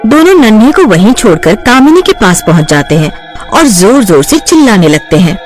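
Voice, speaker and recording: A woman's voice: mid-range voice, big pitch swings, moderate pace, soft background music. A woman reading scripted narration for a cartoon story.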